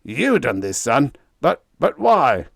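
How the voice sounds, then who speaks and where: A man's voice: mid-range voice, wildly swooping pitch, slow pace, vocal fry, no room sound, clean studio sound, voice pushed up, exaggerated voice. A man voicing an anxious older ship's captain who wails in despair. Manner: wailing, despairing.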